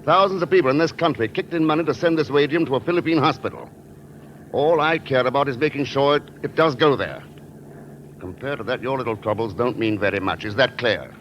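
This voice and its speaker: An actor playing a wry, unflappable secret agent in a 1940s radio drama, dry and authoritative. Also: male voice, medium pitch, brisk, natural voice. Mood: stern. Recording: muffled, noisy vintage radio recording.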